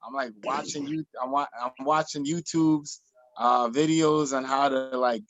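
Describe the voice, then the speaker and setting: A man, telling his story as a guest in an interview, animated, energetic, with many restarts. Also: mid-range voice, unhurried, no room sound. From Jamaica. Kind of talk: video call interview. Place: small room in front of a printed backdrop. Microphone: laptop or webcam on video call.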